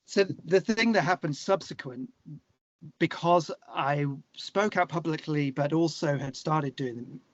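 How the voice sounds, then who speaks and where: A man with an English accent talking candidly and reflectively as an interview guest, searching for his words in a halting way. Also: a little high, no echo, highs cut off.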